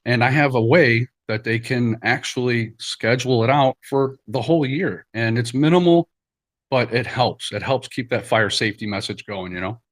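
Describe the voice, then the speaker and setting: A man's voice: medium pitch, moderate pace. A man hosting a live stream in a casual, loosely conversational, plain-spoken way.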